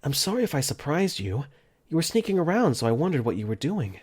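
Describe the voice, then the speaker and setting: A young man voicing an earnest, caring dorm caretaker for a fan dub, gently narrating his own thoughts in first person. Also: fairly high pitch, sing-song, fast talker, husky. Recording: faint music under the voice.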